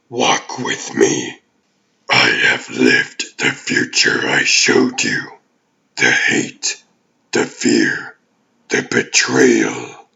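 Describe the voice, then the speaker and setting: Vengeful alien demon villain, super gravelly growl, harsh forceful edge, grandiose comic dub speeches. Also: male voice, very deep voice, muffled recording, exaggerated voice. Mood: cold, imperious. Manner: pompous, slow theatrical villain speech.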